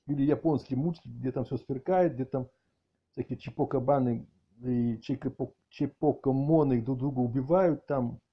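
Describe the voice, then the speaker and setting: A middle-aged man with a husky voice doing a mock-outraged comic rant, halting and repeating words as he exclaims. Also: medium pitch. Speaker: video channel host. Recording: dull lo-fi audio, faint music behind.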